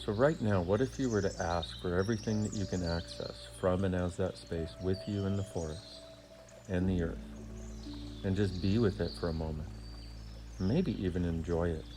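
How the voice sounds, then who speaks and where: A man leading a guided meditation in a soothing, deliberate way, with short phrases and long pauses. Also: sits low, over music.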